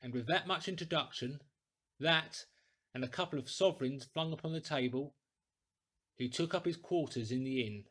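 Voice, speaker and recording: A man reading a classic story aloud in a deliberate, theatrical, clearly articulated way. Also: fairly high pitch, a bit bright. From England. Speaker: audiobook reader, actor. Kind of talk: dramatic scripted storytelling.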